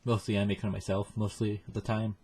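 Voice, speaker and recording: Low, husky man rambling casually. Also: flat delivery, warm tone. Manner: halting, self-deprecating. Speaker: reaction video host.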